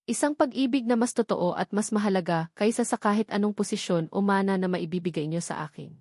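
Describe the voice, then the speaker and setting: A woman's voice: mid-range voice, brisk, darkish tone, no room sound, lightly acted. A devoted young man stands up to his family as played by a woman narrator. The delivery is solemn and declarative, in the manner of a romance audio drama. The sound is close and dry. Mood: earnest, resolute.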